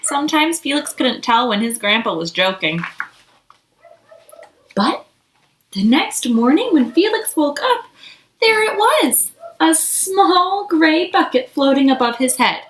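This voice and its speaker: Casting: female children's storybook reader, expressive and unhurried, very lively intonation, recorded in an echoey room. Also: sits high. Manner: expressive, acts out dialogue and asks young listeners questions. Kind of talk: children's picture book read-aloud.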